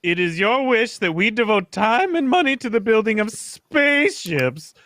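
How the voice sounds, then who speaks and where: A woman playing a stern, formal leader of an alien council in a live radio play reading, with measured pronouncements. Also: fairly high pitch, very animated, fairly bright tone, no echo, studio quality. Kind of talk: live amateur reading of old radio script.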